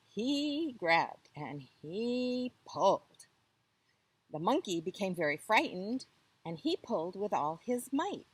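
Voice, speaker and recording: Slow, animated woman storyteller with husky voice. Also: mid-range voice. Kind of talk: expressive children's story reading.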